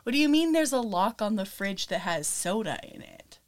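A young woman co-hosting a podcast, chatting casually with lots of fillers and laughs, a little raspy. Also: medium pitch, sing-song, moderate pace. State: slightly raspy from vaping. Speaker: podcast co-host. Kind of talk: casual chat.

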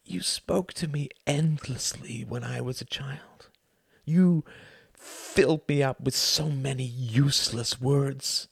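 A man voicing a furious, desperate young man who shouts and accuses his mother in an audiobook. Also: mid-range voice, slow pace, gravelly, husky, dry recording, pitched above the performer's own voice, heavily acted. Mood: bitter accusing. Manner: shouting, raging, pleading. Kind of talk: audiobook character voice.

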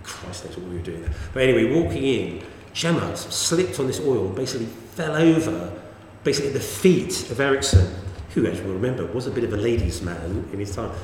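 Middle-aged man chatting casually and telling stories, his pitch swinging up and down as he talks. The room around him is echoey with a long reverb tail. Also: brisk, hardly any pauses, slight background noise. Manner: chatty anecdotal storyteller, lively swings in pitch. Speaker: podcast co-host. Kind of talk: casual chat.

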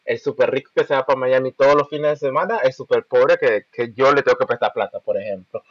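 A man co-hosting a podcast, chatting in a relaxed, agreeable way. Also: mid-range voice, fairly quick, no echo. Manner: relaxed, agreeable, laughs along. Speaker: podcast co-host. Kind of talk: casual chat. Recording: dull, dry mic sound.

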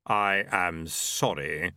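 A man voicing Death, a solemn and commanding horseman of the apocalypse, in a slow, weighty, formal manner for a storybook. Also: sits low, pushed and bright, no room sound, clean studio sound, pitched below the performer's own voice, heavily acted. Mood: solemn, insistent.

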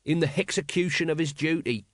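A man's voice: on the high side, fairly quick, no room sound, clean studio sound, pitched above the performer's own voice, natural voice. A man voicing a sulky, slightly drunk watchman who grumbles in uneducated speech for an audiobook. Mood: grumbling. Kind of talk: audiobook character dialogue.

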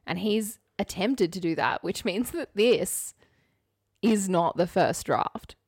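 Lively Australian woman, dry close sound. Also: medium pitch, takes it slowly, slightly rough, no room sound. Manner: wry, quippy. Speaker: podcast co-host. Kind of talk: casual comedic chat.

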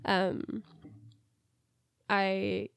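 A woman's voice: mid-range voice, extremely slow, vocal fry, clear, resonant tone. Stuffy-nosed young woman chatting. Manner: chatty, relaxed, rambling with fillers. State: stuffy, congested. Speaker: podcast co-host, esthetician. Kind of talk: casual chat.

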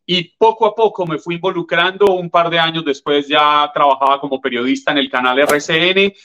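A Colombian man in his fifties, a journalist answering interview questions in a measured, articulate way. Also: fairly high pitch, bright, forceful tone, a little hiss or hum. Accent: Colombian, from Tolima. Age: 50s. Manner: articulate, measured, formal address. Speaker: guest journalist.

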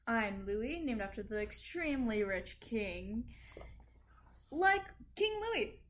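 A woman's voice: fairly high pitch, big pitch swings, slow, fairly bright tone, full character voice. A woman playing a haughty, dismissive male French sugar planter who co-hosts a history roleplay podcast. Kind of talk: amateur scripted roleplay.

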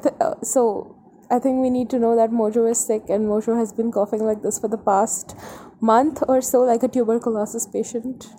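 A woman's voice: on the high side, fairly even intonation, moderate pace, mellow. A young adult woman with an Indian accent, co-hosting a casual podcast with teasing, dry, mock-formal banter. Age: young adult, college student. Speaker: podcast co-host.

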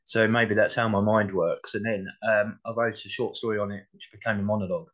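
A man's voice: on the low side, level tone, brisk, a bit bright. A man speaking as an interview guest in a casual, modest and reflective way. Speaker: interview guest, playwright and actor.

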